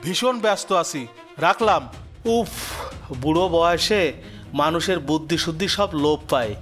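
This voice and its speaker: A man plays a callous, irritable city businessman son in a cartoon drama. His voice is high for a man, curt and scornful, with soft music behind. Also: normal speed, lightly acted. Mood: irritated. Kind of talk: melodramatic cartoon voice acting.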